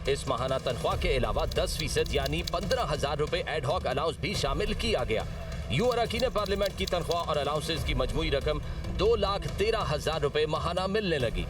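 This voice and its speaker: A man's voice: sits high, distant mic. A man with a Pakistani accent reads a scripted news report in a formal, emphatic manner.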